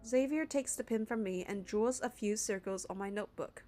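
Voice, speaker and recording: A woman's voice: mid-range voice, moderate pace, mellow. A young woman narrating a game playthrough, reading the story and the player character's part in a casual way. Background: music.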